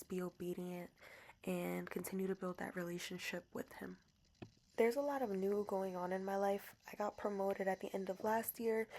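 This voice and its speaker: A woman's voice: medium pitch, a little mellow, slight background noise. A young woman in her twenties with a New Jersey accent talks through her diary vlog in a chatty, playful way. Spanish was her first language, and she leans on emphatic repeats to drive a point home. A faint room ambience sits under her voice. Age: 20s. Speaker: vlogger. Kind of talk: casual diary vlog voice-over.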